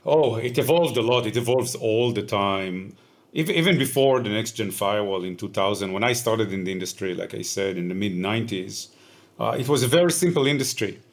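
A man in his fifties with an Israeli accent, answering interview questions in a measured, explanatory way with frequent "uh" pauses. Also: lowish voice, animated. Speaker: tech company founder, guest.

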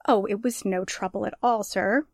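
A woman's voice: medium pitch, steady tone, moderate pace, no echo, natural voice. A woman playing a polite, obliging stenographer in a 1940s-style radio drama.

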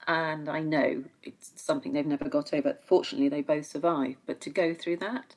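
A middle-aged woman speaks in a low register. She talks conversationally as a pundit on a discussion show, often restarting phrases while she searches for the right word. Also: fairly even intonation, darkish tone, low-quality recording. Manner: halting, searching for words, repeating herself.

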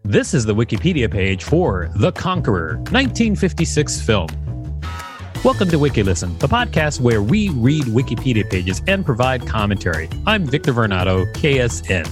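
A man's voice: mid-range voice, big pitch swings, moderate pace, music in the background. A man co-hosting a podcast, reading an article aloud and making wry, joking asides. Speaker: podcast co-host. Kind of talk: reading aloud with casual commentary.

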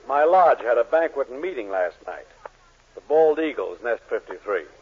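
A man's voice: medium pitch, sing-song, normal speed, natural voice. Swooping, sly henpecked American boss, played by a man. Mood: wry, rueful. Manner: dry, wisecracking, conspiratorial. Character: henpecked, sly male drugstore boss, dryly jovial. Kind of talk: 1940s radio sitcom acting. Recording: tinny, noisy 1940s radio recording.

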